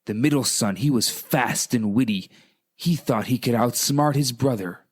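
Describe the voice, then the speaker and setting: A man, a rabbi on the radio, telling stories with deliberate phrasing and dramatic repetition. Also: medium pitch, husky. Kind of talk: conversational radio storytelling.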